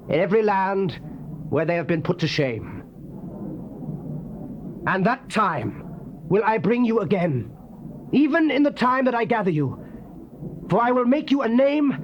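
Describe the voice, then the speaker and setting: Fervent high-pitched prophet, swooping declamation. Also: male voice. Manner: declamatory, exultant, slow solemn phrasing. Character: fervent Old Testament prophet proclaiming joy and restoration. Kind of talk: dramatized King James scripture reading. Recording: dull, noisy old cassette tape.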